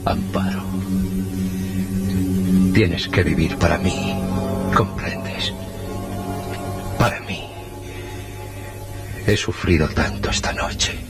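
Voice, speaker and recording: An actor playing an anguished young husband, pleading solemnly in an old-fashioned radio serial. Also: male voice, low-pitched, over music. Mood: anguished, tender. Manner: solemn, drawn-out, melodramatic.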